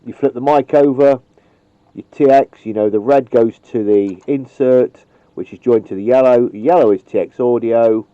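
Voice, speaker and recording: A man's voice: medium pitch, normal speed, no room sound. A man casually demonstrating how to wire a radio microphone, rambling and chatty, with frequent ums and the odd mild swear word. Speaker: radio hobbyist demonstrating wiring. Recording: dull, lo-fi home video sound.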